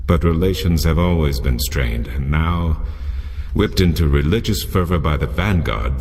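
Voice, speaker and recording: Solemn old mentor, very deep gravelly voice, long reverb. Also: male voice, a little mellow, heavily acted. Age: older. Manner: solemn, measured, formal. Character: wise ancient old man, grave mentor.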